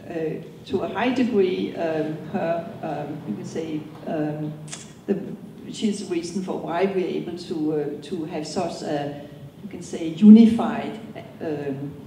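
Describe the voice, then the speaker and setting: A middle-aged woman with a Danish accent, answering interview questions on stage and often pausing on ums and restarts. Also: lowish voice, warm tone, a little hiss or hum. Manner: hesitant, many ums and restarts. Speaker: company co-founder, guest. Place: event hall. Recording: echoey hall from far off.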